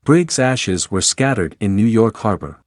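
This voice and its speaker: Male narrator for scripted history piece. Low register, dry studio-style sound with no room echo. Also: lively intonation, a little slow, darkish tone.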